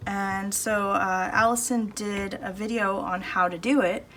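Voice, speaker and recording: A woman talks her way through a painting session in a relaxed, thinking-aloud manner, her voice neither high nor low. She is recorded on her phone in a home studio, with a faint hum of cars and a fridge under her voice. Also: measured pace. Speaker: art channel host. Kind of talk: casual painting demo chat. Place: home art studio, indoors. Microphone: phone camera above the table, no external mic. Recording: phone audio with faint background noise. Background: faint street and household noise.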